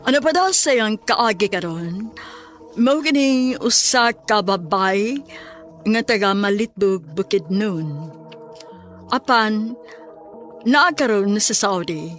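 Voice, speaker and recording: A woman's voice: medium pitch, lively intonation, slow pace. A woman with a Visayan Filipino accent narrating an introduction to a radio drama. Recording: loud music behind. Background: music.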